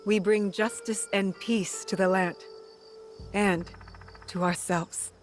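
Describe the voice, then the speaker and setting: A woman playing a compassionate warrior and guiding big sister in a video game, calm and reassuring. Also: medium pitch, slow pace, soft background music, lightly acted. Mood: solemn, reassuring. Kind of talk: video game cutscene acting.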